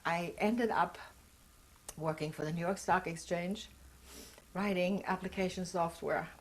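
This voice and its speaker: A woman's voice: on the low side, steady tone, unhurried, a little hiss or hum. An older woman whose first language is German, telling her life story in an oral history interview, reflective and earnest as she searches for words.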